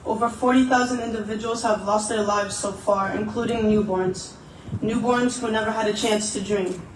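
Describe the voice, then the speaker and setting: Man, solemn vigil speech, earnest, high voice, roomy hall echo. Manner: earnest, solemn, repetitive phrasing. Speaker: vigil speaker. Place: gathering indoors, recorded from afar. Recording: echoey, distant, noisy event audio.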